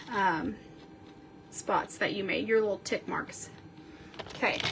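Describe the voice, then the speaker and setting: A woman sniffling a little as she slowly talks through a card-making tutorial, counting measurements aloud while she works. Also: high-pitched, a little hiss or hum. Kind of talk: step-by-step crafting tutorial.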